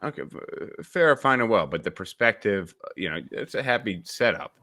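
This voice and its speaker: A man's voice: on the low side, expressive, normal speed, pushed and bright. A young man from upstate New York hosting a casual podcast discussion, rambling with many restarts and filler phrases. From suburbs of Albany, New York. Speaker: podcast host.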